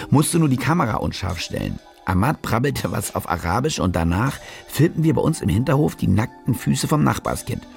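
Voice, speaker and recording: A man's voice: lowish voice, gravelly, darkish tone. An actor playing a world-weary male reporter, narrating his story wryly and colloquially in a radio drama. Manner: wry, colloquial. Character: cynical, world-weary fake war reporter telling his own story.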